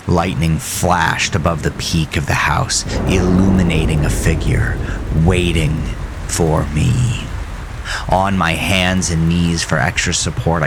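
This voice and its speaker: A man narrating a first-person horror story in a deliberate, suspenseful, dramatic way with a gravelly voice. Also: very deep voice. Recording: rain and storm noise behind voice. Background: rain, storm ambience.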